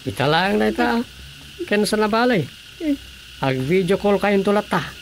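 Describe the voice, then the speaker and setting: A man's voice: high-pitched, expressive, moderate pace, slight background noise, poor audio. A man with an Ilocano Filipino accent, acting a teasing village councilor in a radio comedy drama. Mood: teasing, casual.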